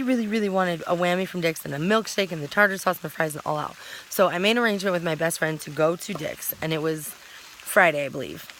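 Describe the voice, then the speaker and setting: Chatty woman in her thirties, bright voice. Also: lowish voice, a little hiss or hum, poor audio. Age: 30s. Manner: rambling, talkative, self-correcting. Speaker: vlogger. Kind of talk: casual chatty vlog. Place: outdoors at a campsite.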